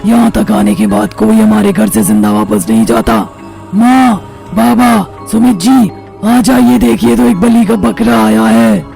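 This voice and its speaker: A woman's voice: on the high side, very animated, unhurried, vocal fry, mellow, soft background music, full character voice. A woman voicing a menacing young ghost witch in a cartoon horror story, calling out tauntingly. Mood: menacing, gloating. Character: menacing young ghost witch summoning her family to kill.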